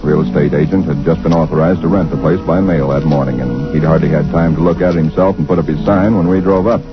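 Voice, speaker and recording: An earnest everyman husband, low voice, old radio sound w music. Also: steady tone. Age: 30s. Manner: earnest, measured storytelling. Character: ordinary 35-year-old male chemical engineer narrating. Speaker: narrator and lead in radio drama. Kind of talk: 1940s radio acting. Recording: dull old radio recording with music score.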